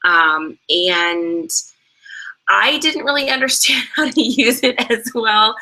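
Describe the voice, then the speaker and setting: A woman's voice: fairly high pitch, slow pace, pushed and bright. A young woman co-hosting an online sales training, chatting casually and explaining steps with lots of fillers. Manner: chatty, many fillers, laughs easily. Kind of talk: casual instructional talk.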